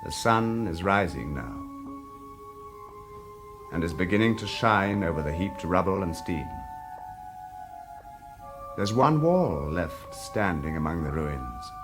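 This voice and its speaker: A man narrating an audio drama in a theatrical, expressive way, telling the story vividly in the present tense. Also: deep voice, takes it slowly, long pauses. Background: loud music.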